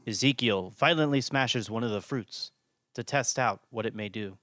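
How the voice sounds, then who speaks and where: A man's voice: medium pitch, normal speed, sharp tone, dry recording, clean studio sound. A man hosting and narrating a scripted audio drama podcast.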